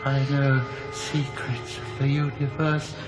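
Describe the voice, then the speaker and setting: An actor playing a mad, possessive husband in a radio drama, theatrical, taunting and insinuating. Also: male voice, medium pitch, little pitch movement, slow, music in the background, natural voice. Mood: taunting, manic.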